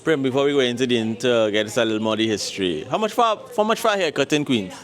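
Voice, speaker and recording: A man's voice: mid-range voice, expressive, fast. A man with a Trinidadian accent hosting a street interview show, upbeat as he introduces guests and asks questions. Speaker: host. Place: city street, then inside a barbershop.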